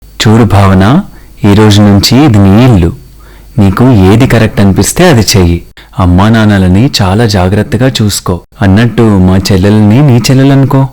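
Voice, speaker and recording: A man's voice: on the low side, moderate pace, warm tone, close to an everyday voice. A man voicing a polite, principled man from a poor family in a cartoon story, respectful and sincere. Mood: gentle, earnest.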